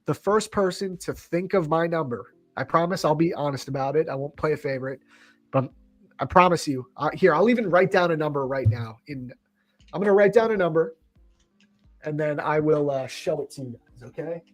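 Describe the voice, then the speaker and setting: A man in his thirties hosts a stream in a chummy, upbeat way, slipping in bro often. His voice sits slightly higher than most men's. Faint music plays underneath. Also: brisk. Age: 30s. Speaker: livestream host. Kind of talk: casual livestream chat.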